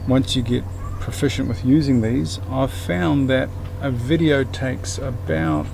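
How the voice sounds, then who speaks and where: Man narrating a patient software walkthrough. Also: mid-range voice, lively intonation, measured pace, gravelly, background noise. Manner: patient step-by-step instruction with occasional fillers. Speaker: tutorial presenter. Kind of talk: screen-recorded software tutorial narration.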